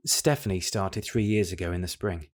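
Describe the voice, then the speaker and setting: Casting: actor as middle-aged British husband, dutiful but guilty, measured delivery, slightly low voice, confessional radio drama. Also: male voice, fairly even intonation, lightly acted. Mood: guilty, self-justifying. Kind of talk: confessional audio drama monologue.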